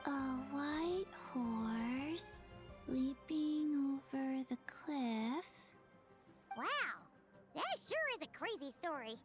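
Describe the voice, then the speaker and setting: A woman voicing a small, excitable childlike fairy companion in a video game, exclaiming and talking about herself in the third person. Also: sits high, drawn-out words, faint music behind, full character voice. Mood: astonished. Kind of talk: animated video game voice acting.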